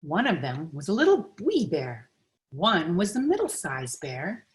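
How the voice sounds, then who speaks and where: A woman reading a children's picture book aloud in an expressive, deliberate storytelling manner. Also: lowish voice. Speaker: storybook reader.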